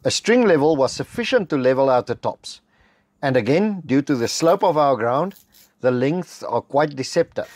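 A middle-aged South African man with an Afrikaans background, narrating a homestead building vlog in a measured, matter-of-fact voice-over. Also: medium pitch, expressive, darkish tone, faint music behind. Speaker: homestead vlogger. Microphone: close voice-over mic.

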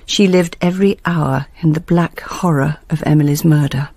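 An actress playing a bitter, grieving grandmother, delivering a deliberate confessional letter as a radio drama monologue. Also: low-pitched, fairly even intonation, mellow, no room sound. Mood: anguished confession.

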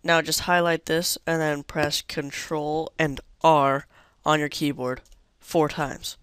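Young man, slightly high smooth voice, casual tutorial host, offhand and rambling, dry close sound. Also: flat delivery, slow, a bit bright, no room sound. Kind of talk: casual screen-recorded software tutorial.

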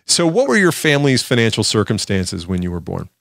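A man's voice: lowish voice, very animated, fairly quick, gravelly, fairly bright tone, no room sound, clean studio sound. Southern US man hosting an interview podcast. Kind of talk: scripted introduction and interview questions.

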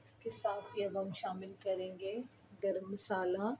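A woman talks the viewer through a recipe step by step, in a plain, instructional way. Her voice sits at an ordinary middle pitch. Clear background noise and sizzling run under her voice in this home kitchen recording. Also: flat delivery, unhurried. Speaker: cooking channel host.

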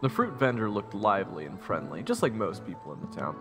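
A man's voice: lowish voice, very animated, brisk, music in the background. A man playing a young fantasy hero who narrates his adventure reflectively in the first person. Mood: descriptive.

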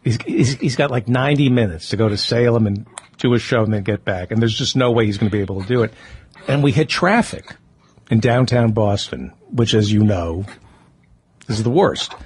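A man with a husky voice hosting a casual interview, talking anecdotally in halting runs with repeated words. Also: mid-range voice, expressive, a little mellow. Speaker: podcast host and comedian.

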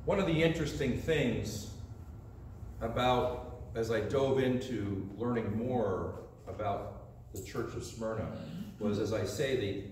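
A man's voice: fairly low pitch, slow, darkish tone, a little hiss or hum. A middle-aged man preaching a storytelling sermon in a church sanctuary, gesturing with both hands as he speaks. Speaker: pastor. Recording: distant echoey sanctuary sound.

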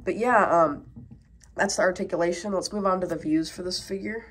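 A woman's voice: lowish voice, brisk. Stuffy-nosed woman reviewing toys. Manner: rambling, many fillers, talking while handling toys. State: stuffed up from a cold. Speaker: toy reviewer. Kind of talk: casual toy review.

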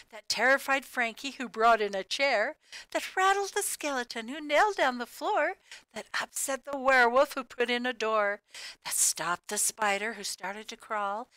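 Female storybook reader, crisp and close-sounding, expressive sing-song delivery of cumulative rhymes for young children. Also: fairly high pitch, no echo, rough recording. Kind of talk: animated children's read-aloud of a rhyming picture book.

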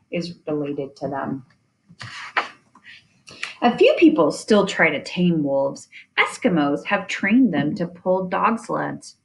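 A woman reading a children's nonfiction picture book aloud in a deliberate way, with chatty asides. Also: on the low side, poor audio. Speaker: reader of children's science book.

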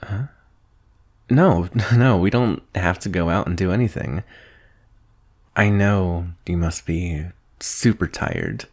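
A young man playing a shy, adoring best friend in an intimate boyfriend ASMR roleplay, speaking softly and hesitantly. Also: low voice, slow, pauses often, exaggerated voice. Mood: caring, surprised. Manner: hesitant, soft-spoken, breathy sighs and nervous chuckles. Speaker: audio roleplay performer.

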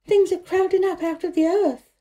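A woman voicing an excited young girl brimming with joy, gushing and exclaiming in a children's storybook reading. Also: very high voice, moderate pace, pitched above the performer's own voice. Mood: excited, joyful.

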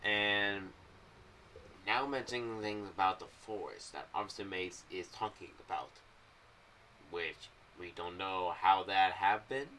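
Young man, rambling casual commentary, bright tone, slightly distant mic, faint noise. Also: sits low, measured pace. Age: young adult. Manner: rambling, loosely phrased. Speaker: YouTuber reviewing an audio drama series. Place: small home room. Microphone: condenser mic on boom arm with pop filter, slightly off to the side.

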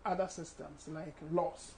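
A Ghanaian man in his sixties, a guest author speaking in a measured, explanatory way in a studio interview on a lapel mic. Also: on the high side, fairly even intonation, vocal fry, a little mellow, slight background noise. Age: 60s.

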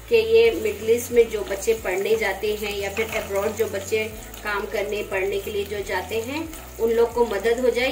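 Woman explaining a recipe in her kitchen, pan sizzling loud behind her, echoey. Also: a little high. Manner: gentle, instructive, conversational. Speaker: home cook. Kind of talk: cooking demonstration voice-over. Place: home kitchen. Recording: roomy kitchen, frying noise. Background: sizzling frying pan.

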